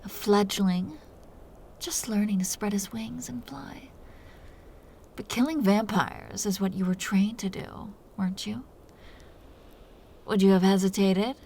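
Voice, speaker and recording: A woman playing a teasing, seductive, dominant vampire captor in an intimate roleplay audio drama, talking in breathy half-whispers. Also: mid-range voice, unhurried, long pauses, dark tone, a little hiss or hum, natural voice. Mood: taunting.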